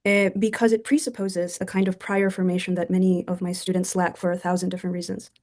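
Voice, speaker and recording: A woman's voice: fairly low pitch, level tone, quick pace, a little mellow. A woman community college teacher joining by video call, carefully reading a question from her notes.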